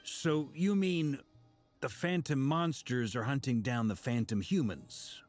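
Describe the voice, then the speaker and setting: A man playing an easygoing, friendly young male adventurer in a video game, expressive and conversational. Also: medium pitch, measured pace, faint music behind, natural voice. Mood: questioning.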